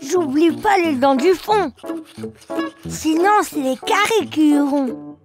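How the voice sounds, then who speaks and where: A tiny boy bear cub from a children's cartoon, speaks in a very high, childlike voice. He is eager and playful, taking his words slowly in a singsong way, with music clearly behind him. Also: expressive. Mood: playful, proud. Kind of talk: children's cartoon dialogue and rhymes.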